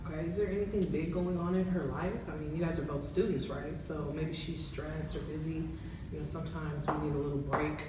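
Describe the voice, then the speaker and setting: Casting: woman as reassuring counselor, lowish voice, measured delivery, student-film drama, roomy distant-mic sound. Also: steady tone, lightly acted. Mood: gentle, probing. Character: calm, supportive female therapist, recounting a client's case. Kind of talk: amateur dramatization acting. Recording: very echoey room, distant mic, clear noise.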